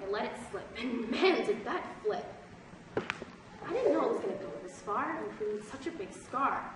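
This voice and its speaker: A woman's voice: on the high side, animated, normal speed, close to an everyday voice. A teenage girl playing an earnest, protective rhyming schoolgirl friend in an amateur stage play. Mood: confessing. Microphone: distant camera microphone. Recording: echoey theatre stage, far off, noisy.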